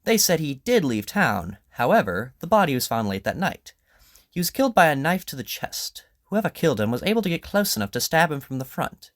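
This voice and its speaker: A man playing a brisk, confident detective in an audio drama, with a probing, theatrical, declarative manner. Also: a little high, big pitch swings, a bit bright, dry recording, clean studio sound, voice pushed up. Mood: confident, expository. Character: brisk, confident male detective, incisive and commanding.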